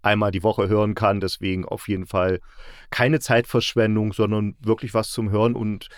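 A man's voice: on the low side, level tone, normal speed, smooth, no room sound, clean studio sound. A man hosting a casual review conversation, reflective and often correcting himself as he formulates. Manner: reflective, self-correcting, repeats words while formulating. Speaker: podcast host, audio drama critic.

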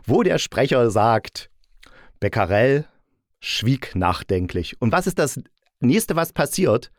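Man with a low voice, casually reviewing. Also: no echo, clean studio sound. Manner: opinionated, nitpicking, colloquial. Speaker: audio drama reviewer and host.

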